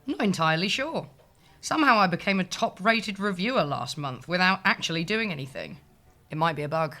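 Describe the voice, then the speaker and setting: A shameless, work-shy female receptionist in an audio comedy. Her slightly low voice swings widely in pitch, and she is dry and flippant with everyone. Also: lively intonation, a little fast, pushed and bright. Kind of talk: audio sitcom acting.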